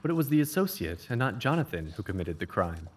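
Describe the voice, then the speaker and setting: A man playing an educated, attentive gentleman who assists a detective, answering briefly and politely in a dramatized audiobook. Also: lowish voice, sing-song, fast talker, slight background noise.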